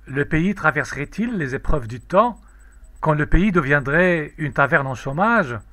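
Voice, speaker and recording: A man reciting a poem in a measured, declamatory, solemn way. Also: fairly high pitch, fairly bright tone, no echo. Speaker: poetry reader.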